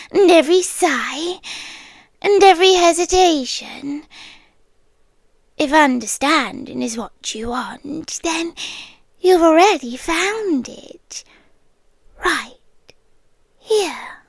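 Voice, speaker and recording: A high, breathy British female voice played by a woman as an obsessive, inhuman girlfriend in an ASMR roleplay. She drifts between soft speech and whispers, sweetly condescending and quietly threatening. The sound is close and dry. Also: no room sound, full character voice. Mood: tender, obsessive. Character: possessive yandere girlfriend, inhuman nest-dweller, softly menacing. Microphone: close microphone.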